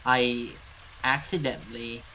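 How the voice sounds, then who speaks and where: A man's voice: medium pitch, fairly even intonation, measured pace, sharp tone, slight background noise, poor audio. A man giving casual let's play commentary and reading game text aloud. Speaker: gaming video host.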